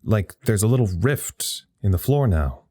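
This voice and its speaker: A man acts out a wry, tired scientist logging reports from an isolated site in an audio drama. The voice is low and soft-edged, recorded close and dry, with a halting, understated delivery. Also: big pitch swings, no room sound. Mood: puzzled, intent. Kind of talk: audio drama acting as a live radio log.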